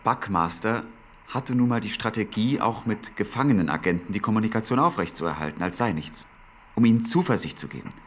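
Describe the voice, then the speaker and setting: A brooding male intelligence officer with a very low voice. He speaks with sardonic edge, as in a radio drama, over faint background noise. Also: expressive, brisk. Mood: sceptical.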